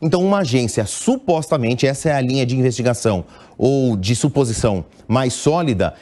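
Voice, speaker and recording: A middle-aged man with a Brazilian Portuguese accent giving analytical news commentary as an international editor, measured and explanatory, with small restarts. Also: medium pitch, lively intonation, warm tone.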